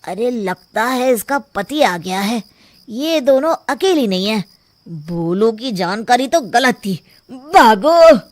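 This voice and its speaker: A woman's voice: on the high side, expressive, takes it slowly, no room sound. A woman voicing a sneaky, jittery village burglar in a cartoon, talking in a conspiratorial way. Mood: nervous.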